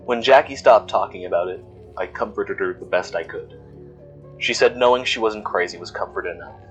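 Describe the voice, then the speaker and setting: A man reading a scripted first-person horror story as narrator, in a measured storytelling manner. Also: on the low side, rough recording. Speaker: narrator of a fictional horror audio log. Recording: music bed under voice. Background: background music.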